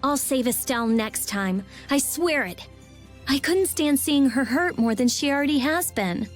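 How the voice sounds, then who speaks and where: An actress voicing a hot-tempered, sharp-tongued teenage girl mage in a video game, quick to emotion. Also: high voice, expressive. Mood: aching. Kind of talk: game voice acting. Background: game music.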